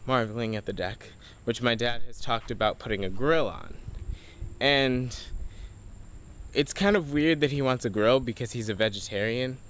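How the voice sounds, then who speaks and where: Low-voiced teenage guy rambling on camera. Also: a bit bright, slight background noise. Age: teens. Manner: rambling, chatty, laughs at himself. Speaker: high school senior vlogger. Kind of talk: casual video diary. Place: at home, partly outdoors on a deck. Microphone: handheld camera.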